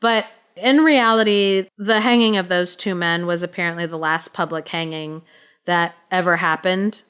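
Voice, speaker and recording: A woman co-hosting a podcast, telling a story casually in an informal, halting, explanatory way. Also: fairly low pitch, steady tone, a bit bright, smooth.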